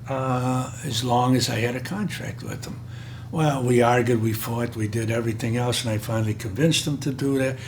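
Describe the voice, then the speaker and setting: An older man, a bit hoarse, recalling his career in a rambling way with many halting pauses. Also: medium pitch, a little mellow, a little hiss or hum. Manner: rambling, frequent filler pauses. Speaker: interviewee. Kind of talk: oral history recollection.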